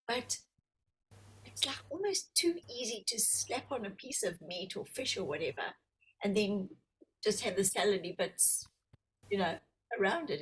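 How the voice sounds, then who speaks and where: A woman co-hosting a casual podcast conversation. Her voice is husky and slightly breathy. She talks in a reflective way and slips in small jokes. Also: mid-range voice, rough recording. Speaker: hypnotherapist, podcast co-host. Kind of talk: casual chat.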